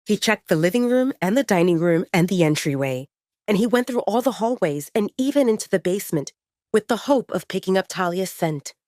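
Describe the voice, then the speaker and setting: A woman's voice: medium pitch, lively intonation, fairly quick, a little mellow, no room sound, studio quality. A woman reading a dramatic fiction story aloud as a scripted audiobook narration.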